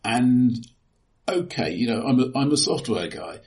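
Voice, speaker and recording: A man co-hosting a casual film discussion, speaking in a hesitant, halting, analytical way. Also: medium pitch, sing-song, a little mellow. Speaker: podcast co-host.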